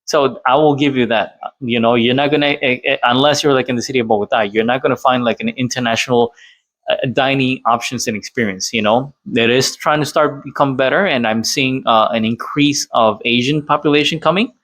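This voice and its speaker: Male host from Colombia, casual rambling reaction commentary, dry close sound, mid pitch. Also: steady tone, fairly quick, fairly bright tone, no echo. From Colombia, Cali. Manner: rambling, many fillers and restarts. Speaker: channel host reacting to a clip.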